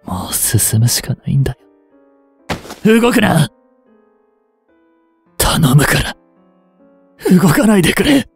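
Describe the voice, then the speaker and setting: A man in his twenties playing a remorseful, grieving young hitman in a voice drama, breathy and anguished. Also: a little high, very animated, soft background music, exaggerated voice. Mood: resigned, pained, then desperate pleading. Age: 20s. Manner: anguished, halting, intimate. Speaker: voice actor. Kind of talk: Japanese voice drama monologue, emotional acting.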